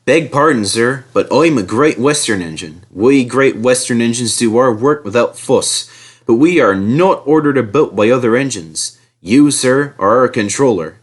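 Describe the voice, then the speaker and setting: A man's voice: medium pitch, expressive, moderate pace, slightly rough. A man voicing a proud, formal and courteous engine in a storybook reading. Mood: dignified. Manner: formal and overly polite. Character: proud, polite old-fashioned engine.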